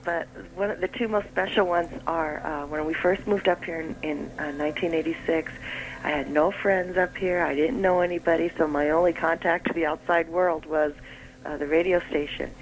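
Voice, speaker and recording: A woman calling in to a radio show by telephone, reminiscing in an expressive, talkative way. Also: deep voice, moderate pace, low-quality recording. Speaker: phone-in caller. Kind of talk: casual reminiscing. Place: on a phone line to a radio studio.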